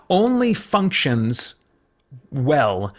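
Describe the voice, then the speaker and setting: A man's voice: medium pitch, huge pitch swings, very slow. A man hosting a review show, talking casually in unscripted commentary and searching for his words as he thinks aloud. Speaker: host of a comic book review show.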